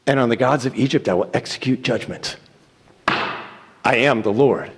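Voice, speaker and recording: A man giving a solemn, declamatory dramatic reading of scripture in deliberate phrases. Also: mid-range voice, background noise. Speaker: church reader reciting scripture. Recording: echoey hall with long reverb.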